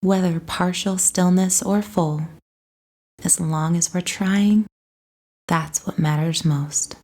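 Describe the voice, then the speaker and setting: A woman leads a guided meditation with a mellow, relaxed tone. Her voice sits on the low side, and she reads her script very slowly, with a gentle, soothing delivery.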